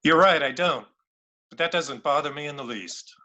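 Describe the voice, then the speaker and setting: A man's voice: on the high side, normal speed, bright, forceful tone. A man playing a tough, sarcastic police lieutenant, commanding and mocking, in an amateur radio play. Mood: bullying. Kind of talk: amateur old-time radio play acting.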